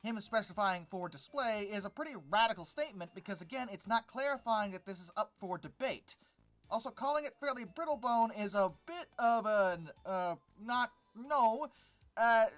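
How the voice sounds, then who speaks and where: Fed up commentator picking stuff apart, sarcastic n casual, mid pitch, bit of music behind. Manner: exasperated, sarcastic, dismissive. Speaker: host of a paleontology commentary channel. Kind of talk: informal article-critique commentary.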